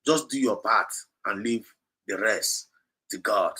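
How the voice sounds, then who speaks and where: A man's voice: on the low side, slow, pushed and bright, husky. A man with a Nigerian accent hosting a livestream, exhorting his listeners and slipping between English and Igbo as he repeats his points. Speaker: livestream host. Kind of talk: impassioned livestream talk.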